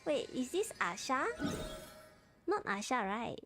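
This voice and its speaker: Malaysian woman game streamer, high voice, unhurried casual chat in short phrases, music playing underneath. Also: big pitch swings, fairly bright tone. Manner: short clipped phrases with little grammar. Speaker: game livestreamer.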